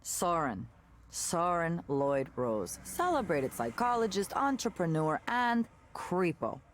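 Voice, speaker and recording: An actress playing a candid, jaded former tech worker in a visual novel, conversational and sardonic with disgusted asides. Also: female voice, lowish voice, sing-song, slow. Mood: wry, conspiratorial. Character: candid, jaded woman ex-tech worker telling a wry story. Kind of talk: naturalistic visual novel voice acting.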